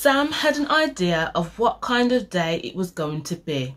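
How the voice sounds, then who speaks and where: A woman's voice: medium pitch, sing-song, normal speed, a bit bright. A young adult woman reading a children's storybook aloud expressively, recorded in a room at home. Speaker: storybook reader. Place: small room at home, indoors.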